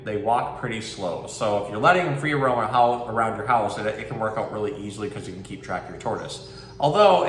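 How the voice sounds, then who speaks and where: A man's voice: mid-range voice, a bit bright. A man working as a zookeeper presenter, giving casual educational talk and reading a picture book aloud. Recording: roomy, distant mic, faint background noise.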